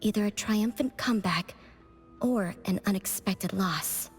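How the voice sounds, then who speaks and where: Woman acting mystical fortune-teller witch, game character, unhurried cryptic delivery, oracular calm, soft music behind. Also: mid-range voice, natural voice. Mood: solemn warning. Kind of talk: scripted video game voice acting.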